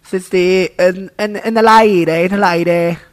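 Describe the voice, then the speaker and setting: Man putting on a slow, gushing celebrity lady. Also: high-pitched, fairly even intonation. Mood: gushing, flustered. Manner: halting, repeating words. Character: flustered, gushing female celebrity radio guest. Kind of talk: absurd radio comedy sketch.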